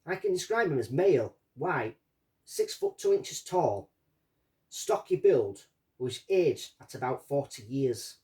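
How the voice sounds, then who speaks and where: A man's voice: mid-range voice, mellow. Guy reading a statement for a true crime series, bit far from the mic, voice goes up and down a lot. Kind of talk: reading out a witness statement, with commentary. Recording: distant from the microphone.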